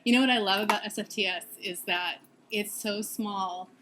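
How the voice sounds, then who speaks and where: A woman in her thirties, a seminary student, answering interview questions thoughtfully, laughing often and pausing with ums. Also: medium pitch, fairly even intonation, noisy background. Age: 30s.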